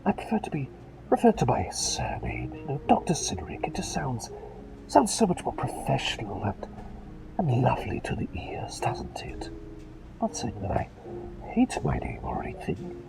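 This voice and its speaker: A man whispers close-up ASMR as a polite, absent-minded plague doctor. His hushed voice swings freely up and down between breath and low crackle. He speaks in courteous, old-fashioned phrases, often dithering and repeating himself. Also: huge pitch swings, normal speed, gravelly, over music. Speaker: ASMR roleplay performer. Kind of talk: whispered ASMR roleplay, personal attention.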